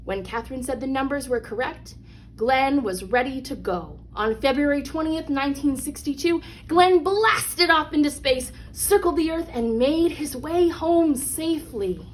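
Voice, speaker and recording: A woman reading a children's picture book aloud as a storyteller, expressively and with dramatic emphasis on key phrases. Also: fairly high pitch. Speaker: read-along storyteller. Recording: clear background noise.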